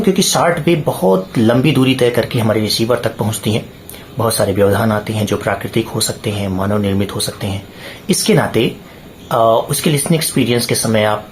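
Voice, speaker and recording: A man presenting a tutorial point by point, in deliberate, halting phrases with stressed key words. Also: medium pitch, slight background noise. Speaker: presenter of radio hobby tutorials.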